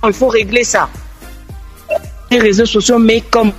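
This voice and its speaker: A woman's voice: a little high, fairly even intonation, moderate pace, soft background music. A woman giving her opinion earnestly as a guest in a radio discussion.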